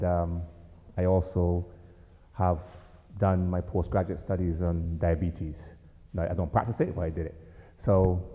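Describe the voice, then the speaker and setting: Deep-voiced Barbadian man in echoing hall. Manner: chatty, asks the audience questions. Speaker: guest health speaker, doctor. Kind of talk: informal health talk to a congregation. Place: church hall. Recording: lo-fi phone-like sound in a reverberant church hall.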